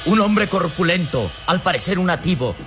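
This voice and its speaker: An actor narrating an adventure radio serial in a solemn, dramatic, suspenseful scripted style. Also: male voice, sits high, animated, moderate pace, over loud music.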